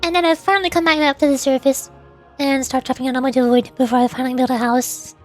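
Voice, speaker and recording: A high-voiced woman chats casually as a livestreamer playing games. She is talkative and giggly, with soft music behind her. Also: level tone, fast talker. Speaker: VTuber streamer. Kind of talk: casual livestream chat over gameplay.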